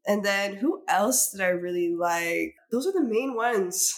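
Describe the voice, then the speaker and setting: A young woman co-hosting a casual chat podcast, bubbly and quick to laugh. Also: mid-range voice, animated. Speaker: podcast co-host.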